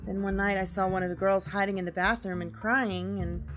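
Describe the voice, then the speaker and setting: An actress playing a nervous, streetwise young woman who talks to police in a hurried, confiding way in an audio drama. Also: mid-range voice, flat delivery, over music, natural voice.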